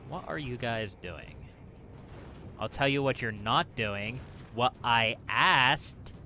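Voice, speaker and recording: A man's voice: mid-range voice, sharp tone. A man giving casual let's play commentary on a video game, thinking aloud in a wry, resigned way. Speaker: gaming channel host.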